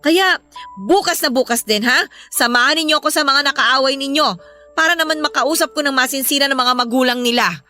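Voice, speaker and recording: A woman's voice: sits high, a little fast, bright, forceful tone. A woman playing a worried, protective mother who counsels her children earnestly in an emotional radio drama. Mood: firm. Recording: music bed behind the voice.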